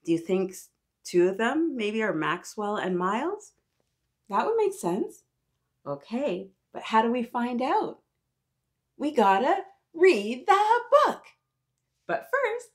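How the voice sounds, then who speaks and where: A woman hosting an interactive storytime, reading aloud to young children in an animated, encouraging way and talking directly to her little listeners. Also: a little high.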